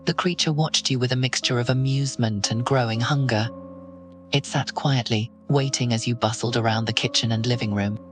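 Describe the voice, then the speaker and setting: A man's voice: mid-range voice, fairly even intonation, a little fast, faint music behind. Man narrating horror in the second person.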